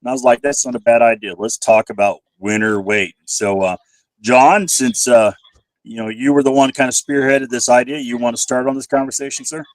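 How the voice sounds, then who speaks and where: A man's voice: medium pitch, fairly even intonation, fairly quick, dry recording. A man hosts a casual online roundtable in an informal, chatty way. His voice is a bit scratchy from a cold and is recorded dry, with no room sound. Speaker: host of a panel livestream. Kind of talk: casual chat, hosting.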